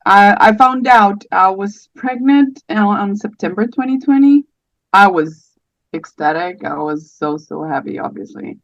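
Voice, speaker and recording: Casting: Portuguese-accented woman, late thirties, halting and gentle, telling her story; dry, dull lo-fi sound. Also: medium pitch, animated, no room sound. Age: 30s. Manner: soft-spoken, hesitant, many fillers. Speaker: podcast guest. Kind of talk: personal story in conversation.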